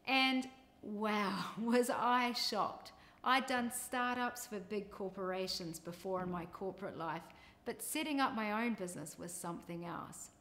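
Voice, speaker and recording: A woman's voice: medium pitch, a bit bright. A middle-aged woman with a New Zealand accent, a business coach telling her career story in an upbeat, scripted introduction.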